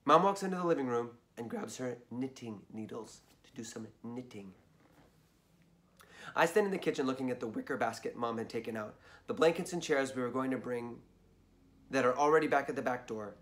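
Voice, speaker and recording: A hoarse man reading a children's story aloud, chatting in asides to his listeners. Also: medium pitch, fairly quick.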